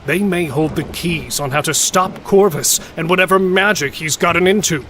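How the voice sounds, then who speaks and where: A man playing an irreverent smith and lore keeper explaining dark history in a fantasy audio drama. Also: a little high, normal speed, a little hiss or hum. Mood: reassuring.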